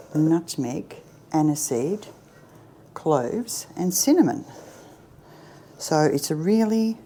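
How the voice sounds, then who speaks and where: Low, mellow-voiced woman chatting while baking. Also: slight background noise. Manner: chatty and self-deprecating, often trailing off into a soft near-whisper. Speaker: home cook presenting a baking tutorial. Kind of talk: casual cooking demonstration. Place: home kitchen.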